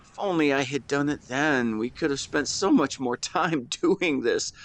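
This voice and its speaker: A man playing a shy young man in love in a romantic audio roleplay, speaking in an earnest, halting, emotional way. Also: mid-range voice, natural voice. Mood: relieved, wistful. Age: young adult. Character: shy young man tenderly confessing love to best friend.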